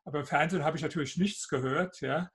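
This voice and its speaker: A man's voice: fairly high pitch, fast talker, fairly bright tone, breathy edge. An older man with a Hessian German accent, speaking as a guest on a video call interview with many fillers and an argumentative manner. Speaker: guest author. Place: home office with bookshelves, indoors.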